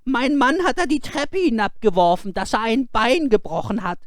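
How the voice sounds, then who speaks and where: A sexton's wife from a storybook, acted by a man, pitched high and shrill. She is anxious and indignant, with an agitated, scolding way of talking. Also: animated, moderate pace, dry recording, studio quality. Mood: outraged. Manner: agitated, accusing. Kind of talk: fairy-tale storybook character voice.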